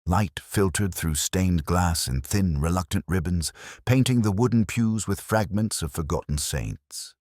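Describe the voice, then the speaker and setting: A man narrating a first-person detective story in a solemn, measured, literary manner with a husky voice. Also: low-pitched, a little mellow, no room sound, studio quality.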